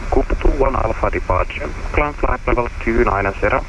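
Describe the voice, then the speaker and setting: A man's voice: medium pitch, brisk. A man working as an air traffic controller, giving clipped, routine radio instructions. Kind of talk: radio phraseology. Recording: noisy radio feed.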